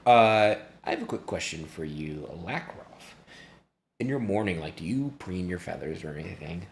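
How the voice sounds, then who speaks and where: A man acting as game master, narrating a tabletop game in a casual, halting, improvised and easily amused way. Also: low voice, expressive, gravelly, a little hiss or hum.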